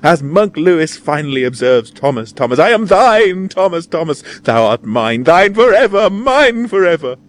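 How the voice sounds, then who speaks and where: A man's voice: a little high, big pitch swings, normal speed, hardly any pauses, sharp tone, voice pushed up. A man voicing an ecstatic, possessive young lady from a storybook, in declamatory archaic speech.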